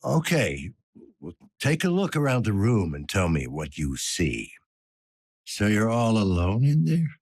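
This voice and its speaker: A man playing a weary, gruff older police detective in a cyberpunk video game, speaking tersely in a low-key, world-weary way. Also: wildly swooping pitch, a little slow, darkish tone, no room sound, studio quality, full character voice. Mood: concerned, questioning. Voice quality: husky, gravelly.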